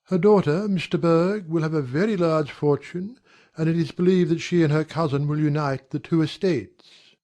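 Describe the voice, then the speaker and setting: A man playing a charming young gentleman who confides his resentments in a dramatized audiobook. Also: a little high, normal speed, lightly acted. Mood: confiding.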